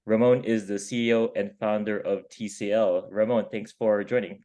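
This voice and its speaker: Friendly male interview host, lowish mellow voice, casual filler-heavy delivery. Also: steady tone, moderate pace. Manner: friendly, upbeat, lots of "like" and backchannels.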